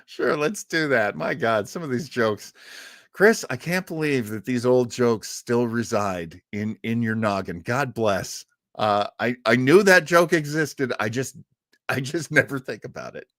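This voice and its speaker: A man's voice: mid-range voice, animated, measured pace, a bit bright, no room sound, clean studio sound. An American man hosting a live show in a casual, chatty way, joking and rambling off into asides as he talks to his viewers. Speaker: live stream host of an old-time radio show.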